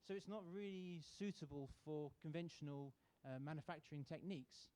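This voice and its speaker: A man from the UK giving a sponsor's welcome speech at a student contest, relaxed and halting with many fillers. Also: fairly high pitch. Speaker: sponsor representative. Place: university auditorium.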